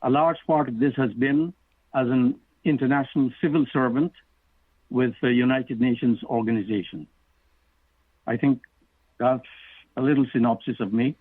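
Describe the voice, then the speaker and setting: A middle-aged man from Guyana talks about his book in a measured, storytelling manner. His voice sits at a middling pitch and comes over a thin, tinny phone line. The sound is dry, with no room around it. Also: steady tone, slightly rough, no room sound. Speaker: author and guest. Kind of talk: interview answers.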